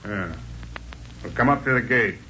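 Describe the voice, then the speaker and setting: An American man playing a surly, bossy jail sergeant in a 1940s radio drama, barking gruff, slangy commands. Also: on the low side, moderate pace, bright, forceful tone. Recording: dull, noisy old radio recording.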